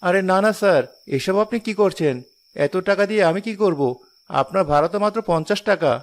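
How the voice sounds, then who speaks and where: A man's voice: high-pitched, brisk. A man voicing a poor, ailing rickshaw puller in a cartoon drama, an earnest and caring family man. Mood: humble.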